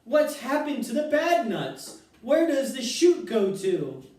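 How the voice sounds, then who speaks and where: A man's voice: sing-song, slow, a little mellow, heavily acted. A man voicing a frantic mother who shrieks in panic. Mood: panicked. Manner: panicky, shrill. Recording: very echoey room, far mic.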